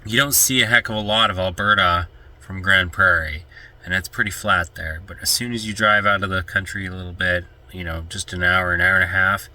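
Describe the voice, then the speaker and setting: A man's voice: low voice, steady tone, brisk, sharp tone, rough recording. A man with a stuffy, congested nose, chatting casually and rambling as he vlogs from his van life travels. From Canada. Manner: chatty, rambling, easily sidetracked. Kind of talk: casual vlog chat to camera.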